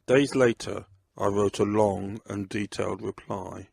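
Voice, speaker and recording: A man's voice: on the low side, fairly even intonation, measured pace, creaky, a little mellow, husky, no echo, studio quality. A man reading scripted first-person narration of a crime story.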